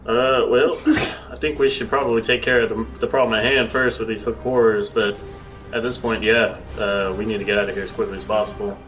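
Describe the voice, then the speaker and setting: A man's voice: lowish voice, level tone, fairly quick. A man playing a tabletop role-playing game, talking casually and hesitantly as he decides his moves. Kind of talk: casual in-character game play. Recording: slightly distant mic, loud music behind. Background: prominent background music.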